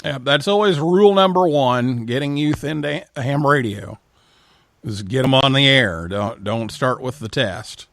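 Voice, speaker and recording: A man's voice: medium pitch, measured pace, fairly bright tone, no room sound, studio quality. A man hosting a live talk show, interviewing guests in a conversational way. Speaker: host.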